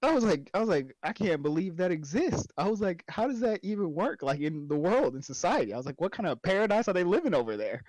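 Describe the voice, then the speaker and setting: A man's voice: high voice, sing-song, a little mellow, no echo, low-quality recording. Young guy, oklahoma accent, chill joking chat, dry close sound. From Oklahoma, USA. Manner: laid-back, joking, drawn-out musing. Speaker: stream guest. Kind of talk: casual chat.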